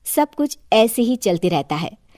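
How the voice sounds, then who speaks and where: A woman's voice: on the high side, very animated, a little slow, darkish tone, no echo, studio quality. A woman narrating a scripted moral story as storyteller.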